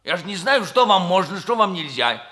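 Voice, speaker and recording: A blustering military bachelor in a 1960s radio comedy. His voice is fairly high for a man and very sharp, leaping wildly in pitch. He stammers evasively, then bellows like a gruff soldier. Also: big pitch swings, moderate pace, exaggerated voice. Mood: defensive. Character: flustered, blustering bachelor major hounded by his sisters. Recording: vintage 1960s radio play recording.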